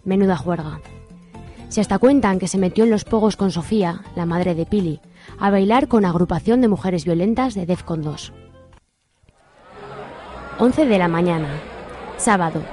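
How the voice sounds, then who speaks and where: A woman's voice: on the low side, normal speed, pauses often, mellow. Woman narrating a radio soap over music.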